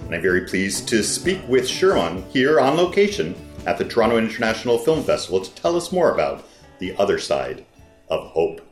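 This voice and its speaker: A man's voice: lowish voice, very animated, fairly quick. Roomy-sounding male film interviewer. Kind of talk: scripted intro then interview. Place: festival interview room. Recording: roomy echo with faint music.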